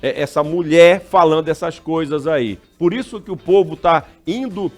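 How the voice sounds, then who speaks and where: A man argues on a talk show in a blunt, emphatic way. His voice sits a little high for a man, and his indignation comes through in every point he makes. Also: moderate pace, soft background music. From Amazonas, Brazil. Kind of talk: heated opinion debate.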